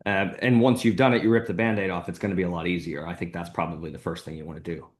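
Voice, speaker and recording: A man working as a test-prep tutor, giving relaxed, blunt advice in casual chat. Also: deep voice, quick pace. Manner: relaxed, blunt, many "you know" fillers.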